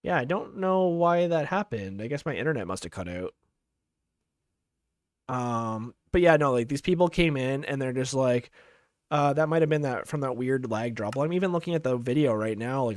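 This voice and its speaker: A man's voice: mid-range voice, lively intonation, moderate pace, no echo, clean studio sound. A young Canadian man chatting casually on a live game stream, rambling through stories with lots of fillers and reading chat messages aloud. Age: young adult.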